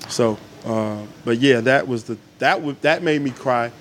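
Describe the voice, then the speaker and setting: A man's voice: mid-range voice, a little slow. Laid-back joking man, faint room hum. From Atlanta, Georgia. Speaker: podcast guest. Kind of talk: casual podcast chat.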